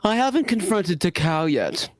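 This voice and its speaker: A woman's voice: lowish voice, sing-song, normal speed, no room sound, clean studio sound. A woman voicing a chirpy, secretive young female agent reporting in, in a giggly sing-song manner.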